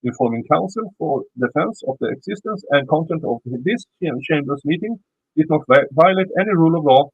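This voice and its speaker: Man, Swedish accent, reads court papers aloud, stumbles and restarts words, blunt asides, husky, muffled dry sound. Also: fairly high pitch, dry recording. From Sweden. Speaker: YouTube commentator. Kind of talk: reading a legal filing aloud with commentary.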